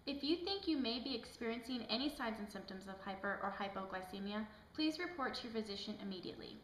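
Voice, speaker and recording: A woman's voice: on the high side, lively intonation, fast, natural voice. A woman playing a polite, curious woman who presents and asks questions in a scripted health education skit. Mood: presenting. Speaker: presenter and patient in a health education puppet show. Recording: roomy echo with faint background noise.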